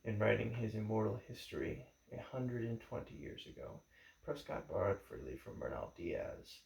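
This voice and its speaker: Male reader for a history audiobook: fairly deep and creaky, formal and deliberate, recorded in an echoey room. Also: very animated, a little mellow. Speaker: book reader. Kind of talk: audiobook-style reading of a history book introduction.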